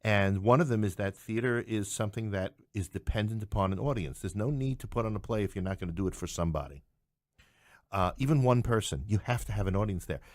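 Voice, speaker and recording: A man with a fairly low voice and a very dry, close sound, speaking casually as an interview guest. He explains his points with animation and tends to repeat little phrases of agreement. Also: fast, darkish tone, no room sound. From New York City. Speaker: guest, playwright and English professor. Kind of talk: casual podcast conversation, explaining.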